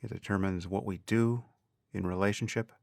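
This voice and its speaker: A man guiding a meditation as a teacher, speaking calmly and deliberately in measured phrases with pauses. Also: lowish voice, vocal fry, no echo, studio quality. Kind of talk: guided meditation narration.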